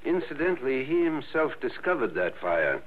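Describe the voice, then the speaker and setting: A man's voice: mid-range voice, normal speed, close to an everyday voice. An actor playing a trusting, easily flustered man in a 1960s radio drama. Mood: sociable, hesitant. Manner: animated, hesitant protests. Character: trusting, easily flustered male friend and client. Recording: tinny old radio recording, some hiss.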